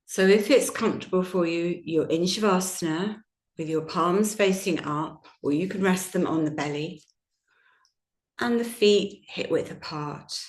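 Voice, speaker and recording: A woman teaching yoga nidra, guiding a relaxation meditation in a gentle, soothing, unhurried manner with long pauses. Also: fairly low pitch. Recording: roomy, echoing sound.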